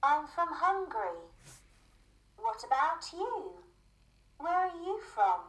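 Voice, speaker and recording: A friendly teenage girl with a high, lively voice that moves very slowly. She spells out names letter by letter. The recording sounds echoey. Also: exaggerated voice. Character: friendly teenage girl meeting someone new. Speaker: textbook dialogue actor. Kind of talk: scripted course dialogue.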